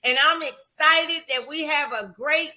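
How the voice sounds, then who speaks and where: A woman with a very bright, forceful voice hosts a morning talk show. Her delivery is effusive and encouraging, and she likes to repeat phrases as she welcomes and interviews. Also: sits high, a little slow.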